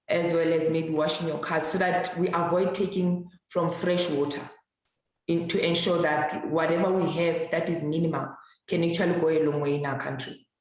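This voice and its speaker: A woman answering a question after her talk, calmly listing simple steps. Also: low voice. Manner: calm, explains step by step. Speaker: doctoral student presenter. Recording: very echoey room.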